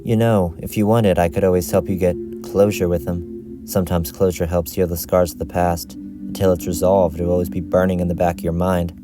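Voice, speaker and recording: A man voicing a principled, idealistic outcast vigilante leader in an amateur animated series, giving earnest little speeches. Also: deep voice, fairly quick, over music, close to an everyday voice. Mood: earnest, reassuring.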